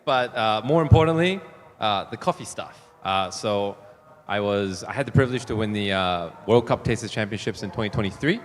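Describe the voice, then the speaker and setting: A man from New Zealand talking as a panel guest, relaxed and easygoing, with lots of fillers. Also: lowish voice, a little fast. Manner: relaxed, laughs easily, many fillers. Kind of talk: conference panel talk. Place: conference hall. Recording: hall reverb with faint room noise.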